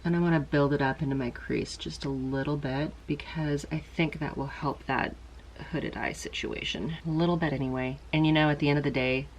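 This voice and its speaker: A middle-aged woman speaks in a low register, her voice slightly stuffy from congestion. She chats casually and rambles a little, poking gentle fun at herself as she talks through a makeup look. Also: steady tone, fairly quick, highs cut off, a little hiss or hum. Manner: chatty, rambling, self-deprecating. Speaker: beauty vlogger. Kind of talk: casual makeup tutorial chat.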